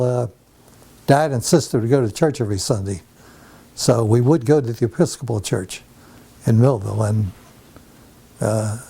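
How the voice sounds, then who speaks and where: An older man from New Jersey with a mellow, warm voice reminisces about his past. He speaks unhurriedly, stopping often to gather his thoughts with small fillers. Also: mid-range voice, creaky, slightly rough. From New Jersey, USA. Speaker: interviewee. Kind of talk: oral history interview. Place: home.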